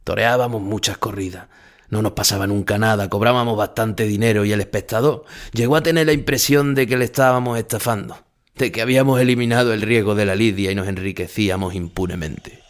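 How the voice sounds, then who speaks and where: A man plays an ageing bullfighter from Spain in an audio drama, speaking in a fairly low voice with a Spanish accent. He tells his memories in literary first person, measured and solemn, with intimate melancholy. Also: steady tone, lightly acted. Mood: grave.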